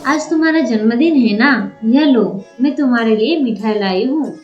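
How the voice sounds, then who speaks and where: A woman voicing a gentle, secretive fairy in a Hindi moral story cartoon, soothing and coaxing, with echoey, dull sound. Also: a little high, normal speed, faint music behind, natural voice. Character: gentle, secretive young fairy befriending a child.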